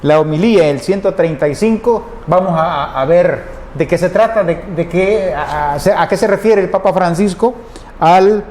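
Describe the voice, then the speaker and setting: Halting Salvadoran radio host with a higher voice. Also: male voice, darkish tone, roomy sound, slight background noise. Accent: Salvadoran Spanish. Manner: halting, frequent restarts, backchannels "ujú". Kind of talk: radio interview hosting.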